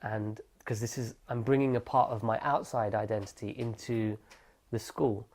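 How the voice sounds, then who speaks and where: A young man from England, telling his life story in an oral history interview. He speaks thoughtfully, often restarting mid-phrase as he reflects, and there is a slight gravelly fry at the ends of his phrases. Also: lowish voice, fairly even intonation, normal speed, darkish tone. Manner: thoughtful, self-correcting, reflective.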